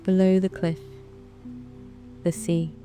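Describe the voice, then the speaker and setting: A woman with a British accent narrating a guided sleep meditation in a soft, calm, soothing way, with long measured pauses. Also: on the low side. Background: background music.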